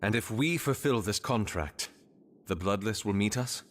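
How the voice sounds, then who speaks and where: An actor playing a steady investigator in a fantasy video game, measured, earnest and authoritative. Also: male voice, lowish voice, natural voice. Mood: businesslike. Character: steady, commanding male investigator in fantasy city. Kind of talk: dramatic video game voice acting.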